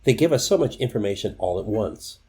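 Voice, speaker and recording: A man's voice: on the low side, animated, a little fast, mellow, husky. A man presenting scripted instructional tips, speaking directly to the viewer in an instructive way.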